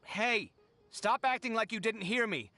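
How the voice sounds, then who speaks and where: A man's voice: high-pitched, unhurried, sharp tone, full character voice. An actor playing a proud, irritable man in a video game, curt and easily exasperated. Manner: curt, indignant. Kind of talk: video game story dialogue.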